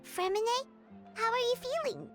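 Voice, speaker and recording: A tiny fairy companion from an anime game, extremely high and squeaky. She is childlike, curious and perky, swinging up and down in pitch over loud music. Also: expressive, normal speed. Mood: concerned. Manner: perky, refers to self in third person. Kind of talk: anime video game voice acting.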